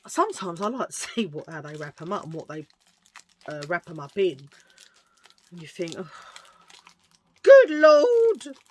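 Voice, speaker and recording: Middle-aged woman, husky lowish voice, rambly chatty haul talk, pokes fun at herself. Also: sing-song, a little slow, long pauses, a little mellow. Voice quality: husky, low voice. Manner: rambling, self-mocking, chatty with fillers. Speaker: vlogger showing a thrift haul. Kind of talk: casual chatty haul video.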